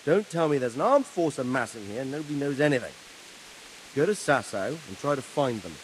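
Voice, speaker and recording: A man playing a brisk commanding lord. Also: medium pitch, expressive, slight background noise, lightly acted, rough recording. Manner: authoritative, brisk. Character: commanding nobleman, the squire's lord. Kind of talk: video game cutscene acting.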